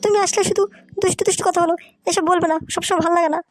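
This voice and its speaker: A coy sister-in-law figure from a village cartoon, as a performer puts her on. Her tiny, very high voice pouts and complains flirtatiously, with music in the background. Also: steady tone, very fast. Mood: coy, nervous. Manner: coy, whiny protesting. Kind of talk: comic cartoon dialogue. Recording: music behind the voice.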